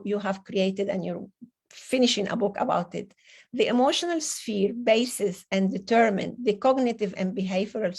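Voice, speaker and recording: A woman's voice: medium pitch, breathy edge. Earnest Venezuelan woman hosting a panel. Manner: earnest, non-native phrasing with restarts. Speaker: panel host. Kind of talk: conference panel talk.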